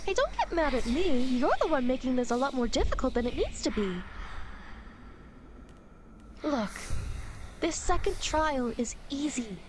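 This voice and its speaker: A woman's voice: sits high, background noise. A woman playing a playful, vain female demon who guides souls through the afterlife in an ASMR roleplay, teasing, smug and mock-indignant. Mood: teasing, reassuring.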